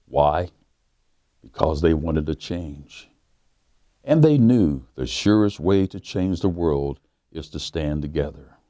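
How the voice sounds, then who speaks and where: A man, a pastor, reading a children's book aloud in a measured, emphatic way with dramatic pauses. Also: extremely low pitch, expressive, warm tone, dry recording. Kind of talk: storybook read-aloud for children.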